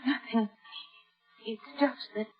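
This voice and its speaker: A woman's voice: a little high, steady tone, slow, slightly rough. A woman acting a terrified young bride trapped by a mad husband in a 1950s radio thriller, breathless and dramatic with dread. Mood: nervous. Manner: breathless, dramatic diary narration with trembling dread. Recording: dull vintage radio recording, loud music behind.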